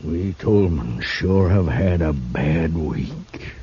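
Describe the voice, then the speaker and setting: A man's voice: extremely low pitch, animated, dark tone, a little hiss or hum, full character voice. An American actor playing a simple-hearted, rueful old frontier man with a rural Western accent in a radio drama. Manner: halting, folksy. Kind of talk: 1950s radio western acting. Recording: muffled vintage radio recording.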